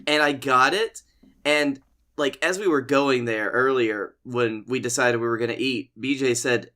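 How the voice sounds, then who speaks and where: Bright-voiced man in casual podcast chat. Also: mid-range voice, measured pace. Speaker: podcast co-host.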